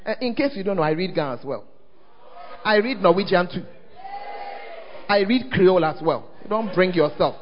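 A Ghanaian man preaches in a very high, lively voice, throwing questions out to his congregation and swooping up and down in pitch. The sound is dull and a little muffled. A long echo from the church hall hangs behind every phrase. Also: moderate pace, pauses often. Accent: Ghanaian English. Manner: call-and-response, often asks the crowd "are you there?". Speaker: pastor. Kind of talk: animated preaching sermon.

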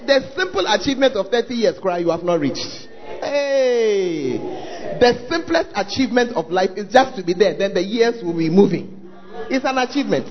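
A man's voice: high-pitched, big-room sound, muffled recording. A man with a Ghanaian accent preaching a sermon, prodding the congregation to answer him and talk to their neighbours. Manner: calls out to the audience, prods them to respond. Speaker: pastor. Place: large church hall.

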